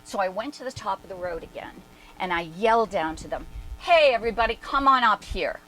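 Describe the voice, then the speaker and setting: A woman's voice: medium pitch, lively intonation, brisk, a bit bright, faint music behind, lightly acted, poor audio. A woman performing a dramatic reading as a brash, independent-minded woman recalling her past in emphatic, conversational asides. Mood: excited. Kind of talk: dramatic reading, animated first-person storytelling.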